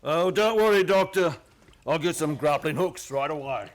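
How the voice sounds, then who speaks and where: An actor playing an obliging working-class British police sergeant in a radio play, plain-spoken, colloquial and deferential. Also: male voice, a little high, expressive, normal speed, natural voice. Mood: impressed. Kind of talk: old-time radio play acting.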